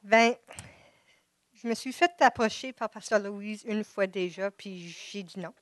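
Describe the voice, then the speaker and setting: Quebecoise woman, English first language, nervous church testimony, mid-pitched, dry close sound. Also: no echo. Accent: Quebec French. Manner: halting, shy, many restarts. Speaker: church member giving testimony. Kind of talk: personal faith testimony. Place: church service. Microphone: microphone before an audience.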